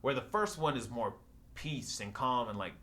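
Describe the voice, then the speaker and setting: A man's voice: mid-range voice, expressive, moderate pace, bright, forceful tone. A man co-hosting a casual podcast, bantering about films over some background noise. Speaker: podcast co-host. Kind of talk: casual film review banter.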